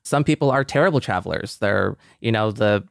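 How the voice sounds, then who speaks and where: A man's voice: lowish voice, expressive, fairly quick, vocal fry, dry recording, clean studio sound. A man in a casual, reflective group discussion, speaking thoughtfully and searching for his words. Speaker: discussion participant.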